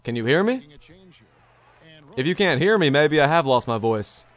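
A man's voice: fairly high pitch, very animated, moderate pace. A young man live-streaming a basketball video game, talking upbeat and repeating himself as he reads his chat, his voice a little strained from long streaming. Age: young adult. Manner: upbeat, chatty, repeats his own phrases. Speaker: gaming streamer. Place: bedroom gaming setup, indoors.

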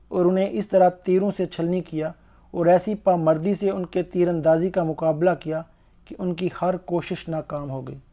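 A man's voice: a little high, dark tone. A man reading a scripted account of religious history in a formal, earnest storytelling manner. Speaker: narrator. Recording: dull, muffled lo-fi voice-over.

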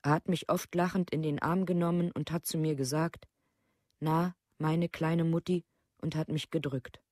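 Radio drama: grieving mother, mellow dark voice, dry close sound, formal and bitterly accusing. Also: low-pitched, steady tone, moderate pace, no room sound. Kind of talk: documentary radio play, read-out plea.

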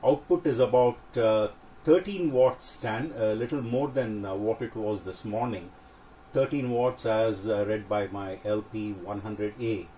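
An older man with a low-pitched voice talks through technical matters in an informal, matter-of-fact way. His delivery is measured and explanatory. The recording is made indoors in a home workshop, with faint noise behind him. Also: warm tone, low-quality recording. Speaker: amateur radio hobbyist presenting.

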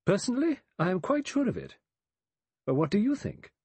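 A man acts out a righteous old English spinster for an audiobook. The voice is raised and husky, with animated swings of pitch, and the delivery is precise, brisk, dry and sanctimonious. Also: dry recording, exaggerated voice. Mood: certain. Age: older.

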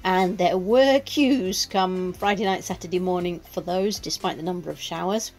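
A woman with a slightly low voice chats casually as a vlogger, giving practical tips and pausing often with "uh". Faint music plays softly behind her. She sounds like she is recording on a camera mic. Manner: chatty and practical, with many "uh" pauses. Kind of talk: casual vlog narration. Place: campsite shower block, indoors.

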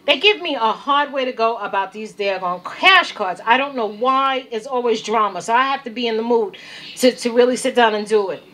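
A woman speaks off camera to a home video, her voice high and very bright. She tells her story casually and with animation, putting on the other people's lines, and turns squeaky when upset. The sound is plain camera audio from a home room. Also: normal speed, poor audio. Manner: animated, acts out quoted dialogue. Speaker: vlogger.

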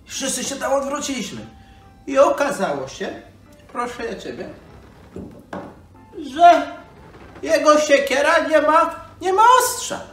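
A man's voice: extremely high pitch, lively intonation, a little slow, long pauses, fairly bright tone, full character voice. A man playing a nostalgic old storyteller, rambling folksily to children with hesitations and sighs. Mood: animated recounting. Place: living room by a fireplace. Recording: roomy, distant microphone, music behind.

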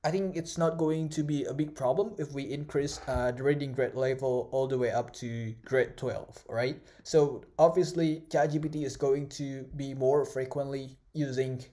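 A man whose first language is Indonesian, teaching a screen-recorded course in a relaxed way with many fillers and "right?" tags. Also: mid-range voice, normal speed, few pauses. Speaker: course instructor. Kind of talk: casual screen-recorded tutorial. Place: at a computer, voice-over of screen recording.

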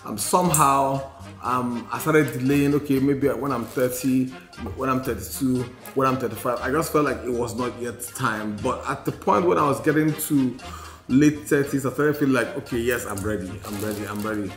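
A man's voice: medium pitch, normal speed. Nigerian man reflecting in a roomy space. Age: 40s. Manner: reflective, repeats phrases for emphasis. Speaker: podcast co-host. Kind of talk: candid personal storytelling in a podcast conversation. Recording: roomy sound with faint music. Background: faint music.

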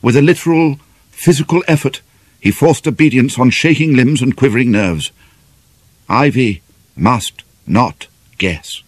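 A man's voice: medium pitch, unhurried, husky, dry recording. A man with a British accent reading a novel aloud as a dramatic audiobook narrator.

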